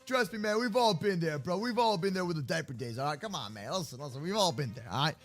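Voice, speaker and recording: A man playing an excitable, bossy young daycare kid in an improvised game roleplay, exclamatory and slangy, often repeating words in bursts. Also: on the high side, fast. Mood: teasing, reassuring.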